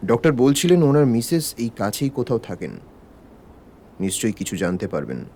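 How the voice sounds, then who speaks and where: An actor playing a composed, probing police detective in charge, questioning in a measured way in an audio crime drama. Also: male voice, medium pitch, wildly swooping pitch, warm tone, slight background noise.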